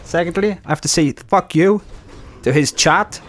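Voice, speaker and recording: A man's voice: a little high, moderate pace. A Welsh man giving casual, chatty game commentary, explaining details with fillers and the odd swear word. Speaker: gaming YouTuber.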